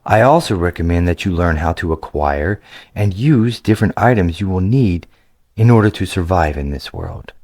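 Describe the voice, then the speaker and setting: A man voicing a courteous, formal half-elf greeter with a measured, grave way of speaking in a storybook reading. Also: low voice, expressive, darkish tone, no room sound, clean studio sound.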